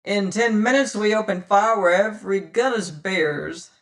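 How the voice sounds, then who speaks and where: A reader voicing a booming male ship's officer who roars his threats across the water. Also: unhurried, lightly acted. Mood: roaring.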